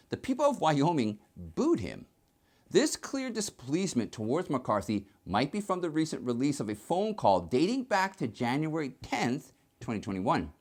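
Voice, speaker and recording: A man's voice: a little high, a little mellow. A man presenting scripted political news commentary in an emphatic, explanatory manner.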